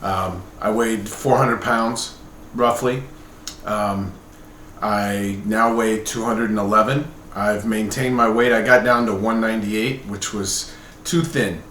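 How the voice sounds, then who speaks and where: A man's voice: fairly low pitch, steady tone, slow pace, a bit bright. Middle-aged man in an echoey, noisy room. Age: late 40s. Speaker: vlogger. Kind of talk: casual personal update to camera. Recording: roomy echo with background noise.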